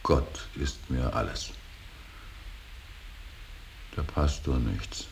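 An older male actor playing a grieving, stern and brooding old painter in a radio drama, speaking in a halting, weighty, solemn stage manner. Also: heavily acted. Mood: weary, grieving. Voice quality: very deep, gravelly. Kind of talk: slow, solemn German stage-style radio drama acting. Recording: hissy radio play recording.

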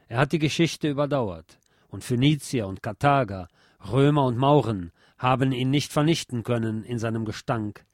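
A man reads literary prose aloud in an expressive, emphatic way, at a middle pitch. The recording is dry and close, with no room around the voice. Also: no echo. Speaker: reader of a literary text. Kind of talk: dramatic literary reading.

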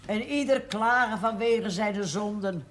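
A woman's voice: medium pitch, measured pace, close to an everyday voice. An actress playing a pious, sardonic spinster aunt in her eighties in a television drama, solemnly declaiming like someone reciting scripture. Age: 80s. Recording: faintly noisy 1970s television soundtrack.

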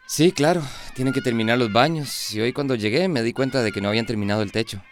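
Affable, clumsy male workman for radio serial played by an actor, mid-pitched, slight noise behind. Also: fast talker, close to an everyday voice. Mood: friendly, rueful. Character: friendly, clumsy workman at a village school. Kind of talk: Spanish-language radio drama acting. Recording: faint background noise.

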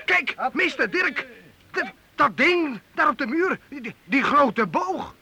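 A man playing an excitable young medieval boy in a Dutch radio drama, stammering and repeating words in eagerness. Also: very high-pitched, takes it slowly, creaky, background noise, heavily acted. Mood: excited.

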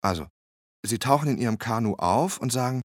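A man's voice: medium pitch, animated, fairly quick, dry recording, clean studio sound, close to an everyday voice. An actor playing a male hurricane survivor and photographer who recounts his rescue as a plain first-person radio drama monologue. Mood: recounting, weary.

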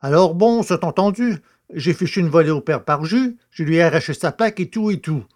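Audio drama: cheeky, defiant poacher, acted by a man, slightly high pitch, sarcastic and colloquial. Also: expressive, brisk, a little mellow, lightly acted. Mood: sarcastic, resigned. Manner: colloquial, sardonic. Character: defiant, sarcastic rustic poacher under questioning.

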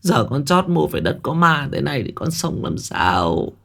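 A man's voice: medium pitch, very animated, moderate pace, vocal fry, a bit bright, voice pushed up, full character voice. A man voicing a distraught older village woman pleading in a spoken ghost story.

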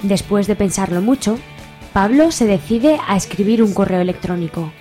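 A Spanish woman narrates a radio serial from a script. Her voice is mid-pitched, with faint music playing behind it. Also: moderate pace, warm tone.

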